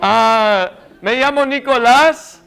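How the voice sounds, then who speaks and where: Fields: Man. Native tongue: English. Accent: American. Style: halting sermon. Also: very high voice, vocal fry, fairly bright tone, smooth. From United States. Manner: hesitant, many fillers and restarts. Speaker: guest preacher. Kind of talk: sermon in second language.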